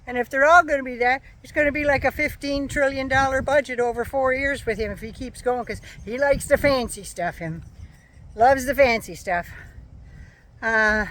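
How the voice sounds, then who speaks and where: A woman giving a sarcastic, profane political rant as a vlogger, her voice going hoarse. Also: high-pitched, measured pace, long pauses, fairly bright tone. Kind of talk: casual ranting monologue.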